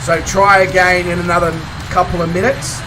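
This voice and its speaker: Croaky high-voiced Australian man. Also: steady tone, brisk, far from the mic, background noise. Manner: chatty, rambling, chuckles between remarks. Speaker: game streamer. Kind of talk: casual chat with live viewers.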